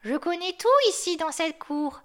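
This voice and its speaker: A woman voicing an old, grumpy male yard dog who lectures like a know-it-all, in a high yappy voice. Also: very animated, a little slow, fairly bright tone, heavily acted.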